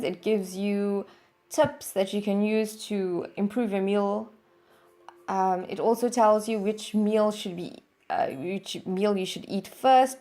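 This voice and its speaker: A woman hosting a channel, talking through a product review in a steady explanatory way with some fillers. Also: mid-range voice. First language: English. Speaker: channel host reviewing a service. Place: home in Norway.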